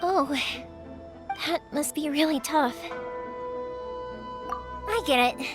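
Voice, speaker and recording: An actress playing a cheerful, kindhearted young woman in an anime game, girlish, chatty and expressive. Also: high-pitched, takes it slowly, over music. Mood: sympathetic, gentle.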